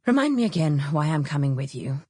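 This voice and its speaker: A woman reader acts out a witty, bohemian woman friend in an audiobook novel. She is petulant and caustic, teasing in a dry theatrical drawl. The voice is husky and fairly low, recorded close and dry. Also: big pitch swings, fairly quick, darkish tone, no room sound, full character voice. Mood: petulant, reluctant. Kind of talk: audiobook character dialogue.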